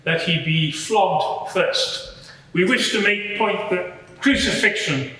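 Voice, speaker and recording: Roman soldier witness, acted by a man, forceful bright tone, matter-of-fact, explanatory, formal inquiry drama, big reverberant courtroom. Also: high-pitched, slight background noise, heavily acted. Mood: measured, formal. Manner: measured, explanatory, slightly hesitant. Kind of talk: dramatised courtroom testimony before a live audience. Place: large courtroom in a public building. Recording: very echoey large hall.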